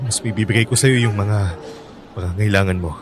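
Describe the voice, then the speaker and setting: A man's voice: fairly low pitch, a little fast, crowd noise, background noise, natural voice. A man acting as a hardworking father in a radio drama, narrating his troubles and arguing with his wife, earnest and frustrated. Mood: tender. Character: hardworking father, weary and bitter.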